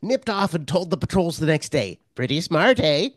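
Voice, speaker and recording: A pathetically loyal middle-aged party man in a radio play, acted by a woman. He stammers and fawns, eager to please, with very animated pitch swings in a dry, close recording. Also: normal speed, dry recording. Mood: nervous, confiding. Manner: stammering, ingratiating. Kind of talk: radio drama acting.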